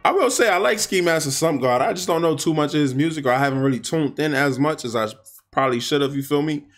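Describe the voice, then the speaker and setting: A young man hosting a music reaction video. He chats casually and excitably in slang, speaking indoors to a webcam while wearing headphones. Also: on the high side, fast. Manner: excitable, slangy, loose and talkative. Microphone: webcam framing, wearing headphones.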